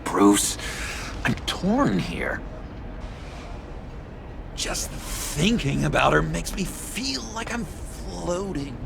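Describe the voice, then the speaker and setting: An actor playing a lovesick, unhinged, childlike young man in a video game, halting and breathy in a theatrical delivery. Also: a little high, background noise, heavily acted. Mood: lovestruck, dreamy. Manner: halting, breathy, swooping between whispers and outbursts. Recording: music behind the voice.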